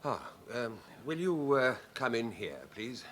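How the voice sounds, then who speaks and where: An actor playing a shaken, apologetic bank manager in a radio drama, hesitant and polite. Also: male voice, medium pitch, lively intonation, unhurried, a little hiss or hum, lightly acted. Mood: shaken, flustered. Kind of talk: British radio drama acting.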